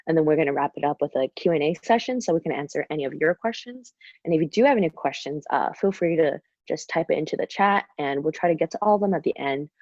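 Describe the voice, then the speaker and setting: Low-voiced young woman on a video call. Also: darkish tone, no room sound. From Shanghai, China. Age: young adult. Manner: chatty, many fillers and "kind of". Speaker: student webinar host. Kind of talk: casual presentation. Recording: dull, dry video call audio.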